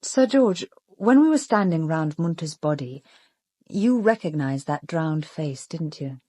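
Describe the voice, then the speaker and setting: Composed British woman sleuth, lowish voice. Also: animated, dark tone, dry recording. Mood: quietly probing. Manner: probing, measured, deliberate. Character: perceptive, composed young woman amateur detective. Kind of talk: radio drama acting.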